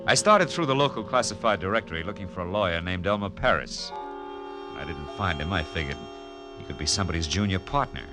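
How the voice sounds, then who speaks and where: A man's voice: sits low, expressive, a little fast, over music. An actor playing a tough, wry male private detective in a vintage radio drama, clipped and casually probing. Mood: wry narrating. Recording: old radio drama recording.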